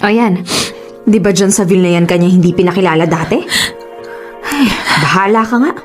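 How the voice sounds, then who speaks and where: A woman with a Filipino accent playing a blunt, protective, exasperated best friend, emphatic and expressive, in a radio drama. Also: lowish voice, normal speed, soft background music, close to an everyday voice. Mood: exasperated, suspicious.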